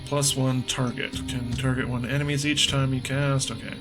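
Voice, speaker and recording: A man's voice: medium pitch, level tone, moderate pace, music in the background. A man giving casual, jokey let's play commentary, thinking aloud as he reads game dialogue. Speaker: gaming video host.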